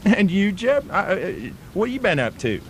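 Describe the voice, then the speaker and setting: A man's voice: sits high, sing-song, a little hiss or hum. A man with a put-on Australian accent playing a stammering bushman who tells a spooky tall tale in a comic radio drama. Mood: jovial banter. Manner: stammering, drawn-out storytelling.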